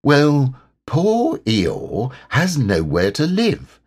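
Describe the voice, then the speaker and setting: A man voicing a cheerful, slow-witted storybook bear who talks slowly and gets muddled. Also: mid-range voice, wildly swooping pitch, a little mellow, slightly rough, pitched above the performer's own voice, heavily acted.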